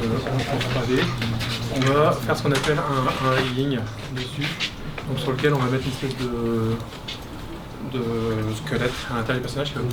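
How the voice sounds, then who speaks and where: Man guiding a studio tour, halting. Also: medium pitch. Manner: halting, many restarts and fillers. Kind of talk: casual explaining while walking. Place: animation studio offices, indoors. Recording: loud background noise.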